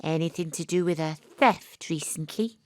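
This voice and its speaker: A woman's voice: sits low, moderate pace, darkish tone, dry recording, studio quality, close to an everyday voice. A woman acting an eccentric amateur detective who narrates her investigation in an audio drama, theatrically and with dramatic repetition. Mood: eager, bluffing. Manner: theatrical, breathless self-talk with dramatic repetition. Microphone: close home studio microphone.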